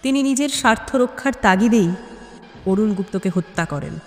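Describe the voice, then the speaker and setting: A woman playing a calm, firm female detective in an audio story, speaking in a measured way. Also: mid-range voice, faint music behind, lightly acted. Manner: firm, measured, soft-spoken at times. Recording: long hall reverb.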